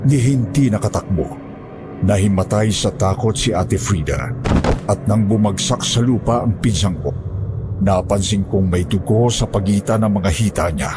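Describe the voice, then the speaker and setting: A man narrating a scripted first-person horror story as its storyteller. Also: lowish voice.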